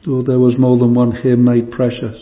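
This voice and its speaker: A man leading a solemn extempore prayer in old-fashioned language, pleading, his voice falling away quietly at the ends of phrases. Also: medium pitch, completely flat delivery, moderate pace. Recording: distant hall reverb, phone-like band.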